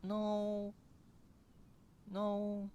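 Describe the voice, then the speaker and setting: A woman's voice: medium pitch, monotone, very slow, mellow, highs cut off, a little hiss or hum. A woman streaming a game, giving short, sympathetic, casual reactions as the story plays.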